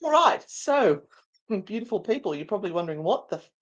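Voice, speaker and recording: A woman's voice: mid-range voice, very animated, fairly quick, dull audio. Chatty woman hosting a live stream. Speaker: show host and narrator. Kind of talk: casual live-stream chat.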